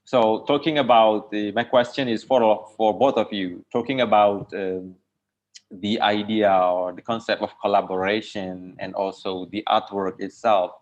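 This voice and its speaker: A man's voice: on the low side, steady tone, a little slow. A man with an Indonesian accent hosting an online discussion, tentatively phrasing and rephrasing his questions over a dull video call line. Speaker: host.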